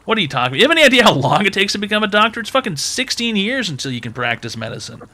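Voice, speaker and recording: A man's voice: high voice, very animated, very fast, bright, forceful tone. A man hosting a call-in advice show, brash and mocking with crude, profane jokes.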